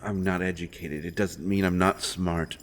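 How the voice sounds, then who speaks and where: Male actor for deep-voiced fantasy roleplay royal interrogator, secretly protective, earnest, pleading urgency. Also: fairly even intonation, moderate pace, slight background noise, natural voice. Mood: defensive, wry. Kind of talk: dramatic fantasy audio roleplay addressed to the listener.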